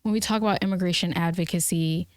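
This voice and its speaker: Smooth-voiced young woman interviewer. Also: fairly low pitch, fairly even intonation, fast, no room sound, studio quality. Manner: chatty, encouraging, frequent affirmations. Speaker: podcast host, interviewer. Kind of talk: casual interview questions.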